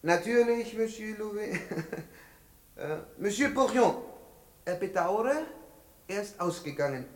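An actor in a 1960s German radio comedy playing an obsequious, hesitant hotel receptionist on the telephone, in a roomy echo. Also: male voice, sits high, expressive. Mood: servile. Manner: servile, hesitant, drawn-out.

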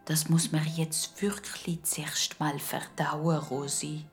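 A woman voicing a calm, gentle grown-up neighbour with a soft, breathy Swiss German delivery in a children's audio story. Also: sits low, slow pace, soft background music. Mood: gentle, thoughtful.

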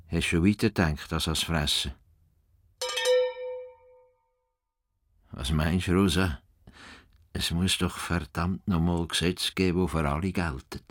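An actor in a radio play playing a stubborn, self-important farmer who grumbles scornfully. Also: male voice, sits low. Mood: brooding, philosophical. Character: stubborn, indignant male farmer and self-styled world-improver. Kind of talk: radio play acting in Swiss-coloured German.

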